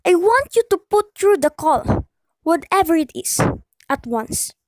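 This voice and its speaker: A woman's voice: very high-pitched, big pitch swings, unhurried, a little mellow, no echo, full character voice. A woman playing a nervous, imperious bedridden wife in a radio play, querulous and melodramatic. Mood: exasperated, indignant.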